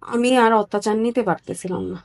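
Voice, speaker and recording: A woman from Bangladesh giving a personal account as an interview guest, candid and somewhat halting. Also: mid-range voice.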